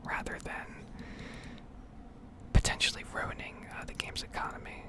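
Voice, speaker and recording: A woman speaking in a breathy whisper, giving unhurried, meandering ASMR commentary over gameplay. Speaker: gaming ASMR video creator.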